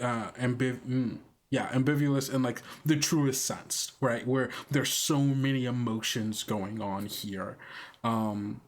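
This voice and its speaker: A man improvising scene narration for a tabletop roleplaying game, halting and deliberate as he searches for words. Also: mid-range voice. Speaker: game master and player on an actual-play podcast.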